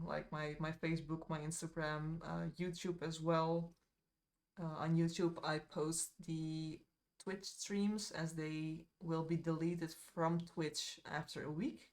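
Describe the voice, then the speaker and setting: A woman with a Dutch accent chatting casually to her live-stream viewers while she paints, rambling a little with fillers and asides. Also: sits low. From Netherlands.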